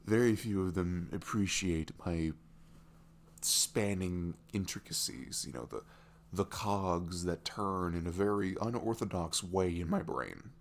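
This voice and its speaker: A man playing a smitten, vulnerable boyfriend in an intimate ASMR roleplay, musing haltingly in a voice that often softens toward a whisper. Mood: wry, self-deprecating. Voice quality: low, creaky voice, often dropping toward a whisper. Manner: halting, musing, frequent "you know" and soft chuckles. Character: smitten, vulnerable young neurodivergent boyfriend meeting online love. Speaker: ASMR audio roleplay performer.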